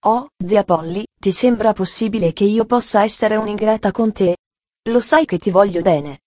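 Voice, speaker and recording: A woman's voice: medium pitch, moderate pace, dark tone, no echo, lightly acted. A woman voicing a cheerful, talkative young girl who speaks in an eager, earnest way, in a storybook reading. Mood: pleading.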